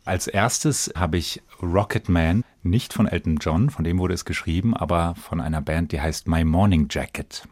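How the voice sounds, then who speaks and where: A man speaks in a fairly low voice, in the relaxed phrasing of a conversational interview. He reflects as he goes, thinking aloud about his answers. The sound is very dry, with no room around it. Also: moderate pace, hardly any pauses, dry recording. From Bensheim, Hesse, Germany. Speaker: interview guest, stage actor.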